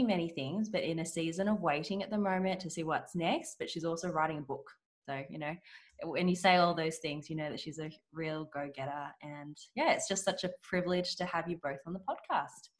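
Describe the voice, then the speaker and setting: Low-voiced woman hosting a podcast. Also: steady tone, normal speed. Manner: warm-hearted, chatty, with frequent fillers and laughs. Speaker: podcast host. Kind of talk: casual interview hosting.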